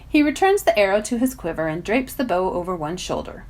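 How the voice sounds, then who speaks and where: A woman reading a children's novel aloud as a volunteer, in expressive narration. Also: mid-range voice, fairly quick. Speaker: volunteer story reader.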